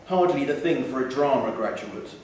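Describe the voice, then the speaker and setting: A man's voice: medium pitch, lively intonation, normal speed, darkish tone. Guy reading a story live, really echoey, mic far away, bit of hiss. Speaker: reader of a short story. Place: theatre venue, indoors. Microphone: distant from speaker. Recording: very echoey, distant mic, faint noise.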